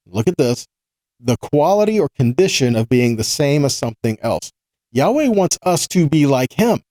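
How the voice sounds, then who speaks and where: A man's voice: medium pitch, mellow, no echo, low-quality recording. A man giving a sermon-style scripture teaching, explaining step by step and posing rhetorical questions to his listeners. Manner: didactic, asking rhetorical questions and checking in with "right?".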